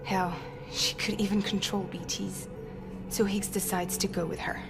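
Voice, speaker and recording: A battered but candid young female ally played by an actress in a game drama. She is direct and world-weary, with a mid-range voice set against loud dramatic music. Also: mid-range voice, little pitch movement, normal speed, creaky, natural voice. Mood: urgent, frank. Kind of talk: video game cutscene dialogue.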